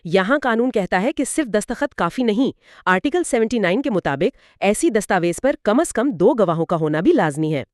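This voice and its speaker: A woman's voice: medium pitch, lively intonation, brisk, a little mellow, no echo, studio quality. A woman, explaining legal points step by step with examples, as co-host of an educational dialogue.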